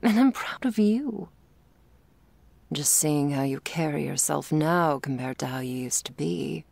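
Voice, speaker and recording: Low, creaky, intimate woman acting a tender girlfriend. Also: a little mellow, no room sound, full character voice. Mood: earnest, vulnerable. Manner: tender, halting, intimate confiding. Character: confident, teasing, vulnerable dominant girlfriend confessing love. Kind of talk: intimate ASMR romance audio roleplay.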